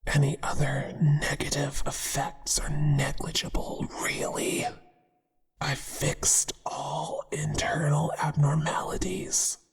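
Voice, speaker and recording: A performer voicing a possessive male spider monster, a devoted but menacing lover, in a hushed ASMR whisper with a crooning, deliberate delivery. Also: mid-range voice, flat delivery, creaky, breathy edge, heavily acted. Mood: possessive, pleading. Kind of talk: whispered ASMR roleplay acting. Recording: long reverberant echo.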